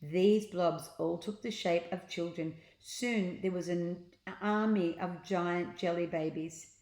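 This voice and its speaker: A woman reading a children's storybook aloud as an expressive narrator, with deliberate dramatic emphasis. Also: lowish voice. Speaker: storybook reader. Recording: echoey room.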